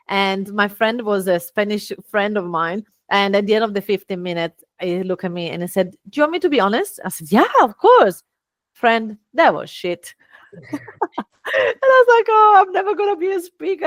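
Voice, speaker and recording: A middle-aged woman with an Italian accent, a guest telling lively stories and acting out quoted dialogue. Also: dry recording, studio quality. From Italy. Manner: lively, acts out quoted dialogue with big pitch jumps. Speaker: guest, VA agency founder. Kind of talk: animated storytelling in conversation.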